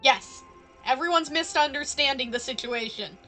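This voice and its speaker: A woman's voice: high voice, normal speed, sharp tone, faint music behind. A woman livestreaming a game casually, answering in a playful, cheeky and blunt way. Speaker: game streamer. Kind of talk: casual livestream commentary, playing along with dialogue.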